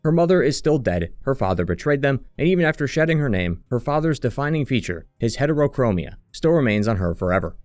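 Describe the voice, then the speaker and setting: A man reading scripted narration in a measured, earnest way, like a video essayist walking through a story retrospective. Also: mid-range voice, sing-song, a little mellow, dry recording, clean studio sound. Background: background music.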